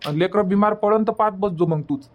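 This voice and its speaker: A man's voice: sits high, a little fast, dull audio, lightly acted. Guy doing a village husband in a cartoon, varhadi marathi accent, keeps arguing and soothing, says things over again. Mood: placating. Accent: Varhadi Marathi from Vidarbha. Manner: colloquial, repeats himself to make his point. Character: village husband and father, placating and argumentative. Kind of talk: cartoon comedy dialogue.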